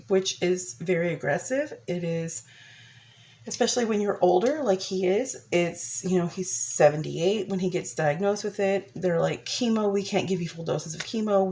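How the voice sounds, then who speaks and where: A woman's voice: lowish voice, a little mellow. A young woman vlogger giving a chatty personal update, a bit congested from a cold, with many ums and restarts.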